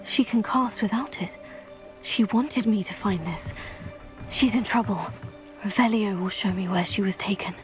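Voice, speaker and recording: A woman's voice: medium pitch, normal speed, music in the background, natural voice. A young woman playing an earnest, caring teenage witch heroine in a video game. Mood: focused, concerned, then hushed, urgent. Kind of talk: scripted video game voice acting.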